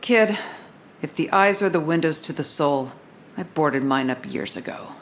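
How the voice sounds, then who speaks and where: A woman's voice: low-pitched, animated, a little slow, a little hiss or hum. A woman playing a jaded, hard-boiled police commissioner, narrating a noir audio drama in a dry, world-weary, stern way. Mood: stern, worked up.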